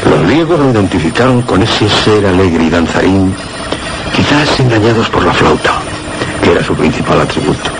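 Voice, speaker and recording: An actor playing an erudite, grave scholar in a Spanish radio suspense drama, speaking Castilian Spanish in a measured, didactic way. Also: male voice, low-pitched, animated, noisy background. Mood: scholarly, intrigued. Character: erudite male scholar friend, grave and explanatory.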